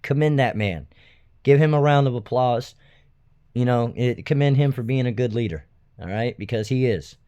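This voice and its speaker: A man's voice: medium pitch, normal speed, mellow, clear, resonant tone, highs cut off. A man giving unscripted commentary to his viewers in a blunt, emphatic, indignant and profane way. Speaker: video host, self-styled citizen watchdog.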